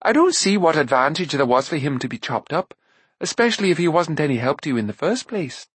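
A quick, scornful young girl from a storybook, her voice pitched high and lively, as a man puts her on. The tone is breathy and dry. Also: no room sound, exaggerated voice.

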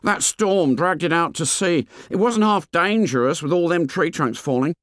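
A man's voice: fairly high pitch, moderate pace, a bit bright, no echo, clean studio sound, voice pushed up, lightly acted. A man putting on an eager, anxious fat schoolboy. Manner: eager, working-class boy's grammar.

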